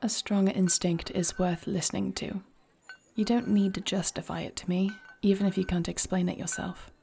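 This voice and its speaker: A woman playing a noble, devoted warrior queen in an intimate fantasy audio roleplay, husky and breathy, speaking in measured, earnest, formal phrases. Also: mid-range voice, fairly even intonation, dark tone, slight background noise, lightly acted. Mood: concerned, thoughtful.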